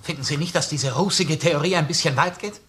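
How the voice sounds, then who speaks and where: A man's voice: on the high side, a little fast, fairly bright tone, close to an everyday voice. A man playing a defensive, proud murder suspect in a radio crime drama, protesting and countering questions on a noisy recording. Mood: subdued, wary. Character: indignant male murder suspect, defensive, proud, under interrogation. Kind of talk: German-language radio crime drama acting. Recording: noisy, with background ambience.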